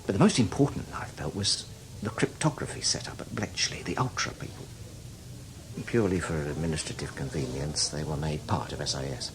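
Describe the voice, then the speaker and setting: An actor playing a seasoned, cynical older British spy in a radio drama, talking in a wry, knowing, emphatic way. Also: male voice, extremely low pitch, fairly quick, background noise. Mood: scornful, explanatory. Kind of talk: radio drama acting, conversational.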